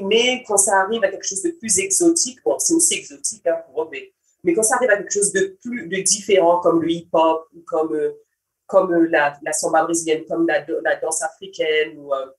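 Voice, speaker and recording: A Cape Verdean woman in her thirties, a native Portuguese speaker and samba teacher, telling her story in a relaxed way and slipping in English words. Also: deep voice. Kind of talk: casual personal account. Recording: voice from far off the mic.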